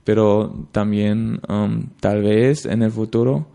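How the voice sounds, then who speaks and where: Man, 20s, German accent, halting learner speech, dark voice, heavy vocal fry. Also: lowish voice, fairly even intonation. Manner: hesitant, searching for words with many ums. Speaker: interviewee, intern.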